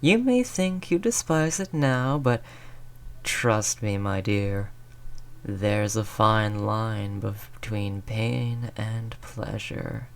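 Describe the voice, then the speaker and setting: A performer voicing an ancient, sadistic, seductive vampire in an intimate roleplay audio drama, speaking with slow deliberation, condescending endearments and breathy whispers. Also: mid-range voice. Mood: gloating, seductive.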